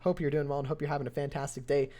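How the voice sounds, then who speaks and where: A young man with a voice going hoarse, calling a mobile strategy game match on his live stream. His play-by-play is excitable and builds to near shouts at close finishes. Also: fairly high pitch, very fast. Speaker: esports caster and streamer.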